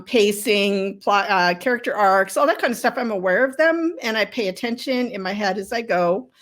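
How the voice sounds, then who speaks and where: A woman's voice: medium pitch, a bit bright. A woman author being interviewed, telling stories about her writing in a casual, candid and informal way with self-deprecating humour.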